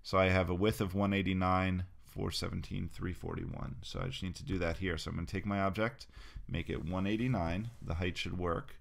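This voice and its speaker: Man, low voice, software tutorial narration, relaxed step-by-step. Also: a little fast, vocal fry. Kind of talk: screen-recorded software tutorial.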